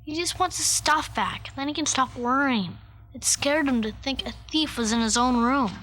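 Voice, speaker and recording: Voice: high voice, moderate pace, sharp tone, poor audio. A performer playing an earnest, reassuring child friend in a children's video drama.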